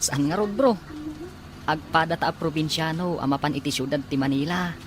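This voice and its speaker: A man's voice: on the high side, fairly quick, slight background noise, natural voice. A man playing an eager young student from the provinces in an audio drama. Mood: curious, eager. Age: young adult. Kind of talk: acted audio drama dialogue.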